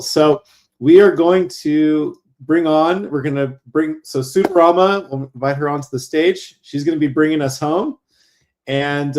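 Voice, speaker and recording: A man's voice: medium pitch, darkish tone. Man hosting a showcase, upbeat, casual, rambling with uhs and ums. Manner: upbeat, rambling, many fillers. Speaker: cohort host and moderator. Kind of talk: casual live event hosting.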